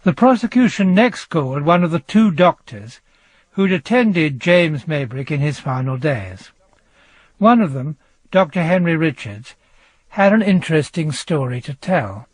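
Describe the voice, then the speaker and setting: A man narrating a historic trial in a measured, dryly wry, scripted storytelling style. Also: on the high side, lively intonation, slightly rough, no room sound. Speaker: narrator, presenter. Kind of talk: scripted true-crime narration.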